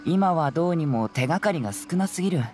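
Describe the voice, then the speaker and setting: A man's voice: a little high, lively intonation, fast, close to an everyday voice. An actor playing a clever, confident young male detective in an anime game, speaking in a composed, casual, analytical way. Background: music.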